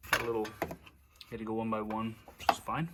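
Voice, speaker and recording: A man giving a casual, hands-on how-to walkthrough as an amateur, talking haltingly as he pieces his sentences together while he works. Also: lowish voice, monotone, background noise. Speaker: amateur DIY how-to video maker.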